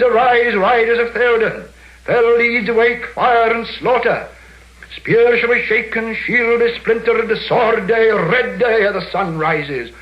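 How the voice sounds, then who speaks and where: A man's voice: a little high, muffled recording. A man giving a dramatic, declamatory reading of heroic literary prose on an archive recording. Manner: declamatory, theatrical.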